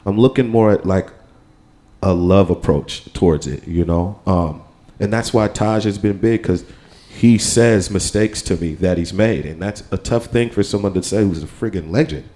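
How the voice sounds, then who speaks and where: A man's voice: low-pitched, moderate pace, warm tone. An American man with a casual, rambling manner, talking on a live panel and often checking in with the listener. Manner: rambling, keeps saying "you know what I'm saying". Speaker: panel guest, percussionist. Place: live radio studio with audience.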